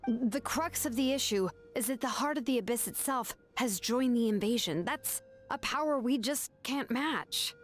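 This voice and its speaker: Game casting: woman playing young warrior woman, higher voice, earnest and solemn, resolute, music behind her. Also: normal speed, close to an everyday voice. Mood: grave, earnest. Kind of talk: dramatic video game voice acting.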